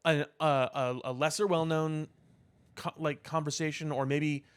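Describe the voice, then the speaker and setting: A man co-hosting a casual podcast discussion, giving opinions in a halting way, repeating words as he builds his point. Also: on the high side, steady tone, clear, resonant tone. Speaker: podcast co-host.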